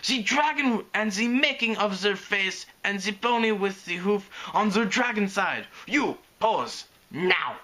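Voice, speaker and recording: Guy doing a bossy diva pony photographer lady, german accent, high and swoopy, barks broken english. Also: lively intonation, measured pace, few pauses, sharp tone, exaggerated voice. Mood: imperious. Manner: dramatic barked commands in broken English. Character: flamboyant imperious female pony fashion photographer.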